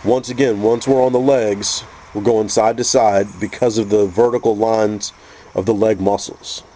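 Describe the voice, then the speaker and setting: A man with a stuffy, congested voice from a cold, calmly explaining a painting technique step by step with frequent restarts. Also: fairly low pitch, normal speed, slight background noise. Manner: calm, methodical, with frequent restarts. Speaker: custom figure painter teaching. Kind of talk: painting tutorial narration.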